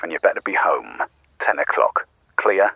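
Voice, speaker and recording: An actor playing a cold, sarcastic loan shark who threatens in a clipped way. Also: male voice, sits low, very animated, normal speed, creaky, bright, forceful tone, husky, no echo, heavily acted, low-quality recording. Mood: menacing. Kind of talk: audio drama acting.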